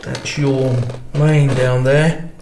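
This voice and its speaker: A man's voice: medium pitch, flat delivery, very slow, a little mellow, a little hiss or hum. An actor playing a matter-of-fact plumber chatting as he works, in a naturalistic radio drama. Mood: practical, matter-of-fact.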